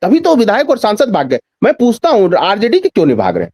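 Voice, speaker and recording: High voiced guy on a news panel, really animated, hammers points by repeating, dry sound. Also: fast, dry recording. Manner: emphatic, repeats phrases for effect, rhetorical questions. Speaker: journalist, news panel guest. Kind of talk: animated political commentary in a news discussion.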